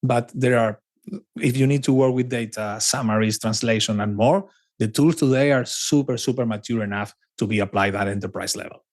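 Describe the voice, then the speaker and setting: A man from Argentina, a native Spanish speaker with an Argentine accent, talking as a podcast guest in an animated, repetitive, conversational way. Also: mid-range voice, a little fast. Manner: animated, with frequent restarts and emphatic repetition. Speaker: podcast guest, cloud advocate. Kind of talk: casual conversational interview.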